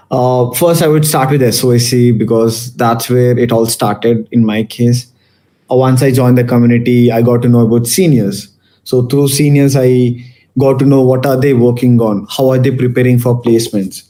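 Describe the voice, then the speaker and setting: A young man from Malaysia talks to a room of students in a loose, conversational way. His voice is mellow and sits in a middle pitch, and he often pauses on fillers and restarts his sentences. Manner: casual, many fillers and restarts. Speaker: guest speaker. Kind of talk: conference talk to students.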